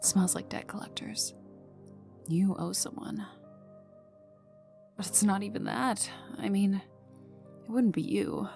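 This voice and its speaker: A woman acts out a young female villain enforcer in an ASMR audio drama, speaking close to the listener. Her voice has a creaky edge and ambles along, slipping into whispers. She is cocky and teasing, with a gruff protective streak, over ambient music. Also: mid-range voice, slow pace, pauses often, dark tone. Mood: low, knowing. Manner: teasing, smirking, casual drawl lapsing into whispers.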